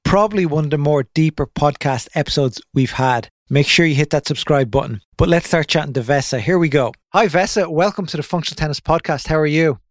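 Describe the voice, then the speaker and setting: A man's voice: a little high, brisk, hardly any pauses, dry recording. Upbeat male podcast host, dry sound. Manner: upbeat, promotional, chatty. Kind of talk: podcast intro and interview.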